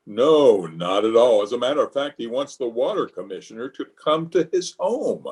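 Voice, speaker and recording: A man's voice: mid-range voice, very animated, normal speed, a little mellow, natural voice. Guy acting fussy self-important middle-aged town official, easily flustered, old radio comedy. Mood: self-satisfied.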